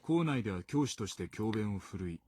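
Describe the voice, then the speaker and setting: An actor playing a solemn narrator, reading an occult article in a horror game. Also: male voice, on the low side, animated, very fast, a little mellow, breathy edge, no echo.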